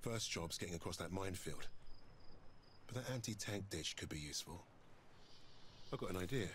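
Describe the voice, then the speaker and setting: A man's voice: low voice, lively intonation, moderate pace, a bit bright, slight background noise. A man with a British accent playing a bold, resolute wartime sergeant in a video game drama. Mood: calm, planning. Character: bold British paratrooper sergeant, resolute male squad leader.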